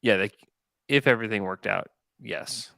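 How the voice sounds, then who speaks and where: A man's voice: lowish voice, moderate pace, creaky, sharp tone, dry recording, clean studio sound. A man hosting a sports podcast, arguing his points emphatically in a casual debate.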